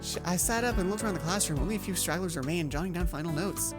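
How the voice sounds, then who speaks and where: A woman's voice: fairly low pitch, fast talker, loud music behind the voice. A woman hosting a let's play, reading a visual novel aloud and acting out dialogue, with casual asides.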